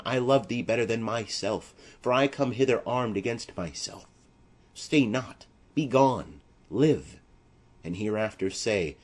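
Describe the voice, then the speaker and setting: Man playing a desperate young tragic lover, husky. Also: lowish voice. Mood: desperate pleading. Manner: grave, menacing, declamatory Shakespearean delivery. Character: desperate, savage young exiled male lover. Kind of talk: classical tragedy audiobook acting.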